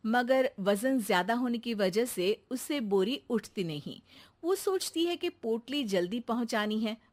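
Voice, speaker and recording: A woman telling a cartoon story at an unhurried pace, her pitch moving with lively variation. The narration is scripted and steady, laying out events plainly. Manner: steady, matter-of-fact storytelling.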